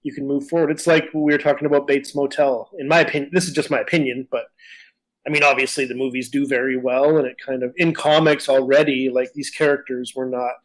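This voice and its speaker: A man's voice: medium pitch, little pitch movement, fast, rough recording. A man with a conversational, explanatory manner, chatting casually as a podcast co-host. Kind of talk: casual discussion of comics and films.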